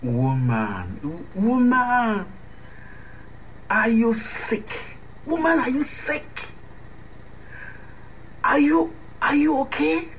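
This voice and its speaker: An indignant, defensive lover with a Nigerian accent, acted by a man. His pitch swings widely as he insists and repeats himself in a radio drama. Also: dull audio, slight background noise, full character voice.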